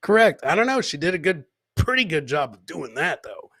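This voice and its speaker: A man's voice: on the high side, very animated, fairly quick, bright, forceful tone, husky. A man hosting a comedy podcast, giving joking commentary on clips, repeating his phrases for effect and mimicking the people he talks about. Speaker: host of a live comedy podcast.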